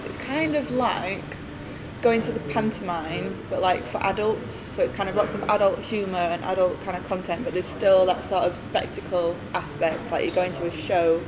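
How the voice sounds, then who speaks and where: Woman chatting in noisy interview recording. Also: fairly low pitch. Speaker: burlesque performer. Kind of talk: casual interview talk. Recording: clearly noisy room ambience.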